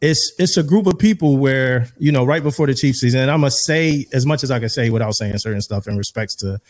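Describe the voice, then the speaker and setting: A man in his thirties co-hosting a podcast, talking in relaxed slang with dropped verbs and casual swearing. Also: medium pitch, fairly quick. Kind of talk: casual chat.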